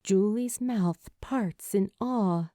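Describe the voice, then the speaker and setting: A woman giving expressive, dramatic scripted narration as an audiobook reader of fan fiction. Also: medium pitch, takes it slowly, no echo, clean studio sound.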